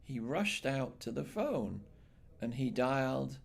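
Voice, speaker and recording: A man reading a children's picture book aloud in an expressive, measured storytelling style with dramatic phrasing. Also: mid-range voice, vocal fry. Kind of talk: expressive bedtime read-aloud narration.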